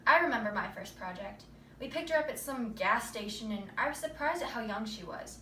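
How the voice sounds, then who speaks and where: A woman's voice: on the high side, lively intonation, a little fast, a bit bright. A woman playing a conflicted, mercenary young trafficking recruiter in a rehearsed stage monologue. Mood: cold, matter-of-fact. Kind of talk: rehearsed readers theater monologue. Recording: roomy distant mic with background noise.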